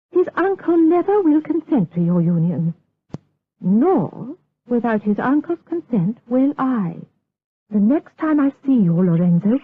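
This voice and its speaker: Period drama casting: protective widowed mother, formal, wary and stern, slightly rough voice, thin lo-fi radio sound. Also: on the high side, heavily acted. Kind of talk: period audio drama acting. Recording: dull lo-fi radio drama recording.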